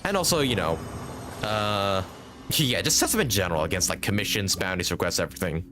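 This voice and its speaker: A man's voice: low-pitched, lively intonation, a little fast, background noise. A man giving casual, rambling live commentary as he streams a game, thinking aloud and chatting to viewers. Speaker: game livestreamer.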